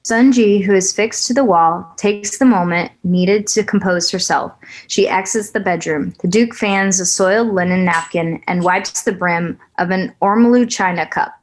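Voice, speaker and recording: A woman reading stage directions aloud at a script table read, even and matter-of-fact. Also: medium pitch, normal speed, hardly any pauses.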